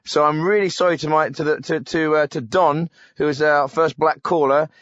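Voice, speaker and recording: An actor playing a defensive, tactless English radio phone-in host, blunt but often hesitant and flustered. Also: male voice, on the high side, steady tone, normal speed, fairly bright tone, dry recording, clean studio sound, close to an everyday voice. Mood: sheepish. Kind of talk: spoof live radio phone-in.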